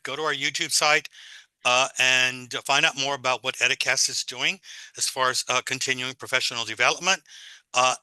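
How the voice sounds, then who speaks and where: A man with a very bright, forceful voice hosts a casual online panel for teachers. He rambles a little, leaning on "uh" between thoughts. The sound is dry and close, as from a video call. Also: medium pitch, clear, resonant tone, no room sound, low-quality recording. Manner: rambling with many uh fillers. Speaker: host of an online teacher-development event.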